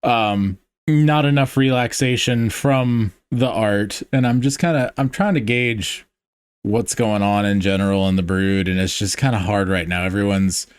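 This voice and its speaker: A man's voice: on the low side, unhurried, clean tone, no room sound. A man hosting a casual podcast conversation, talking hesitantly with many fillers and restarts. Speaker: podcast host. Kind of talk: casual group chat.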